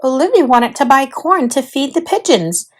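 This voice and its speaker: A woman narrating a children's storybook aloud in an expressive, deliberate storytelling manner. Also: high-pitched.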